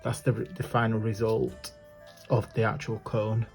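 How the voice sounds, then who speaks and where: A man with a croaky voice hosting a casual craft tutorial, chatting and apologizing as he works with his hands at a desk. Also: lowish voice, level tone, a little slow, darkish tone, soft background music.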